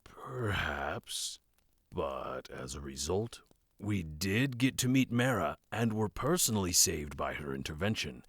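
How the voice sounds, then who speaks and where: An actor playing a calm, optimistic fantasy cleric, speaking in a measured, polite way. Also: male voice, low-pitched, slightly rough, no room sound, heavily acted.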